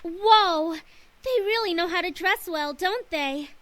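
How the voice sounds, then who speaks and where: Squeaky, bright, excitable girl friend. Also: slow, heavily acted. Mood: impressed, excited. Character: excitable, admiring young female friend. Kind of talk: visual novel character reading.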